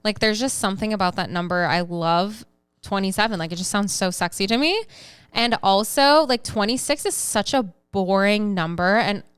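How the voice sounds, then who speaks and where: A woman in her twenties co-hosting a casual podcast, chatty and animated, laughing easily as she talks. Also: medium pitch, moderate pace, clean tone, dry recording. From United States. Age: 20s. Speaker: podcast co-host.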